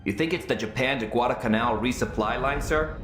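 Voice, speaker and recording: An actor playing a dutiful junior navy officer giving reports in a war video game. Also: male voice, medium pitch, fairly quick, lightly acted. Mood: attentive. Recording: loud music and engines behind.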